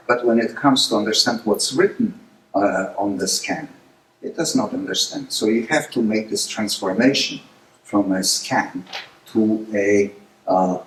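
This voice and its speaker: A middle-aged man whose first language is Polish, giving a lecture presentation, hesitant with many filler pauses but rising with emphasis on key points. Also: lowish voice. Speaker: guest speaker. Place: lecture room. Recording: echoey hall, distant mic, faint noise.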